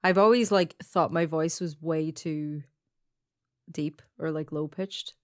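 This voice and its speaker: A woman co-hosting a casual podcast, talking easily with lots of fillers and quick laughs. Her voice sits a bit low for a woman. The sound is close and dry, recorded on a headphone setup. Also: fairly even intonation, no room sound. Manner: chatty, laughs often, many fillers. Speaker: podcast co-host. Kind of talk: casual chat. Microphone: headphones, studio mic.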